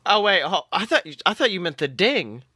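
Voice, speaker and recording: A man co-hosting a podcast, chatting casually and excitably as a fan, swearing freely. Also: high-pitched, fairly quick, no room sound, studio quality. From southern United States. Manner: informal, profane, excitable fan talk. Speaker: podcast co-host.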